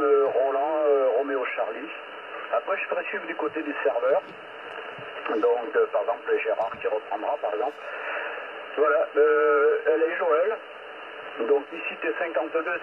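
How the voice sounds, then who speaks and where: A man chatting casually as a CB operator, heard over a two-way radio. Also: fairly high pitch. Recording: tinny radio transmission with clear static.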